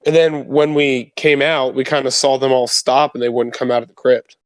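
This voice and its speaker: A man's voice: medium pitch, level tone, moderate pace, no echo. A man playing a tabletop roleplaying game, recounting events in character in a casual, slangy way.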